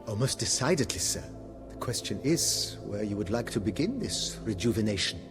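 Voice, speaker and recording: Estate majordomo for a fantasy game: servile, ornately polite, lively swooping intonation. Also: male voice, on the low side, normal speed, music in the background. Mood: eager, obliging. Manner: formal, ornate, servile phrasing. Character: courteous, deferential male estate majordomo, eager to please. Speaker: voice actor. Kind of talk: video game cutscene acting.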